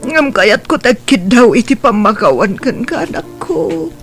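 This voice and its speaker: A woman's voice: a little high, big pitch swings, a little slow, vocal fry, exaggerated voice. Plaintive Ilocano mother, music behind acted by a woman. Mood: remorseful, pleading. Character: remorseful mother pleading for her estranged son's forgiveness. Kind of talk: Ilocano audio drama acting.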